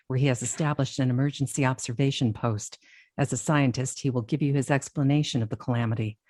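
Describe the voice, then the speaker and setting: A woman playing a radio announcer in a radio drama, reading news bulletins in a clear, formal way. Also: rumbling low, darkish tone, no echo.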